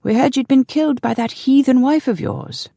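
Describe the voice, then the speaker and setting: A woman voicing a high-pitched, deferential old manservant. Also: moderate pace, vocal fry, mellow, no echo, clean studio sound. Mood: glad, respectful. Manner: deferential, flustered. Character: devoted, chatty old male manservant.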